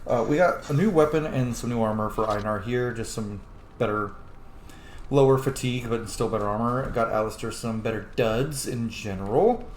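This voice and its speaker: Brief: male let's player, mid-pitched, chatty and irreverent, recorded with noticeable room echo. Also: normal speed. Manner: joking, casual, sweary. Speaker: host of a gaming channel. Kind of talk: casual let's play commentary, role-playing in-game dialogue. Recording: roomy echo, faint background noise.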